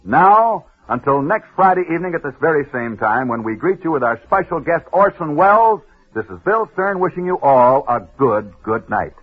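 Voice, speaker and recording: A man hosting a radio sports newsreel, telling stories with dramatic pauses and punchy emphasis. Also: fairly high pitch, sing-song, slightly rough. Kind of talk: 1940s radio sports storytelling. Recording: tinny old radio broadcast.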